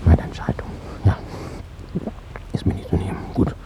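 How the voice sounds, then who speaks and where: An older actor in a radio drama playing a weary, stubborn old psychiatric patient who speaks in terse, brooding fragments. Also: male voice, moderate pace, noisy background, close to an everyday voice. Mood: agitated, defiant. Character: weary, stubborn old male psychiatric patient, former East German dissident.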